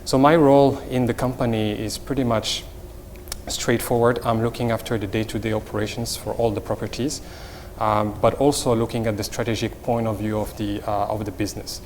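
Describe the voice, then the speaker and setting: A middle-aged man with a Moroccan accent explains things in a semi-formal interview as a company executive, haltingly and with repeated words. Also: fairly low pitch, level tone, darkish tone. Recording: reverberant hall, faint background noise.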